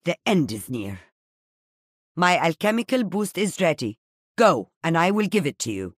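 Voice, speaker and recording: An actress playing a stern, wise older woman alchemist in a video game, speaking in a solemn, formal, measured manner. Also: fairly low pitch, very animated, dry recording, studio quality. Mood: grave, composed. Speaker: game character voice actor. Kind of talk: scripted video game voice lines.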